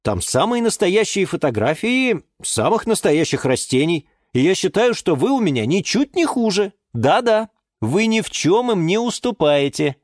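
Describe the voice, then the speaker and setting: A woman's voice: on the low side, sing-song, moderate pace, a little mellow, dry recording, clean studio sound, pitched above the performer's own voice. A woman voicing a kind, earnest male plant owner and peacemaker in a children's story, emphatic and a little flustered. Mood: reassuring.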